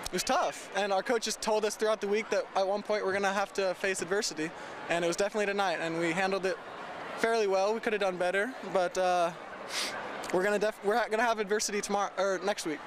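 A teenage football player giving halting postgame answers on a stadium field at night, with many fillers. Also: male voice, sits high, steady tone. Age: teens. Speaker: high school football player. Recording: outdoor stadium noise.

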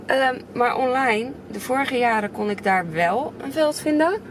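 A woman's voice: a little high, unhurried, noisy background. A woman in her thirties chatting to the camera in a casual family vlog, at home and in the car. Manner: chatty, talking to camera while busy. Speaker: vlogger. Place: home kitchen, then car and windy outdoors. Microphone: camera at arm's length.

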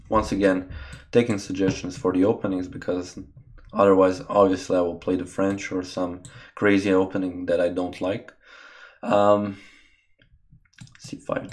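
Guy streaming chess, really low voice, talks through his moves and answers chat. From Croatia. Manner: thinks aloud about moves, chats to viewers between moves. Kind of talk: live game commentary with chat. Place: small room indoors.

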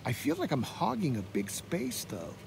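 Man, somewhat high voice, casual camping commentary, thinking aloud, noisy vehicle recording. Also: huge pitch swings, moderate pace, vocal fry. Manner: musing, thinking aloud in short phrases. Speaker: camping vlogger. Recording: noisy, car and vehicle sounds.

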